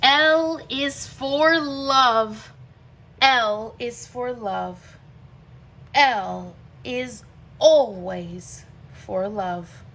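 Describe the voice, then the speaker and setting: A woman performs an expressive picture-book read-aloud in a bright, forward voice that sits a little high. She takes the rhyming verse extremely slowly. Each phrase is deliberate and emphatic, set apart by pauses. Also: rough recording.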